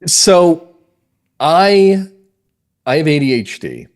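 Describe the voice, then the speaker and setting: A man's voice: on the high side, very animated, takes it slowly. A middle-aged man talking at length in a conversational interview, explaining things as a guest. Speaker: guest, mystery playwright and script consultant.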